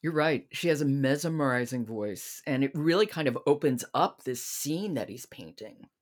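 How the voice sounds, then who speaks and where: A woman's voice: very deep voice, animated, normal speed. A woman hosting a podcast, speaking in a conversational interview style as she prompts a guest. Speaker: podcast host.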